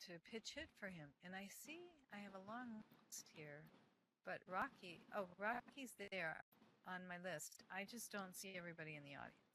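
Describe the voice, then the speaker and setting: Woman host of a group reading, slow and halting, bright tone, light background hiss. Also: mid-range voice, poor audio. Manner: hesitant, many fillers, laughs often. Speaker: organizer of an online reading group. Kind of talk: casual meeting chat.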